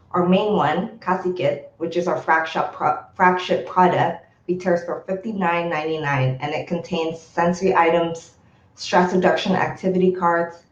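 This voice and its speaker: Indonesian-born woman doing a practiced startup pitch, lowish voice, roomy muffled call audio. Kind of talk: rehearsed business pitch.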